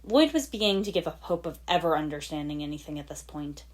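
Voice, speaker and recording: A woman's voice: deep voice, expressive, quick pace. A woman reading fan fiction aloud as dramatic scripted story narration. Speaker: fan fiction podfic reader.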